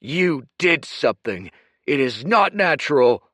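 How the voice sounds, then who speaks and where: A man voicing a huge, hot-tempered young man who shouts and snarls, his voice cracking with grief. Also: mid-range voice, takes it slowly, dry recording, pitched above the performer's own voice. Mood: raw, menacing.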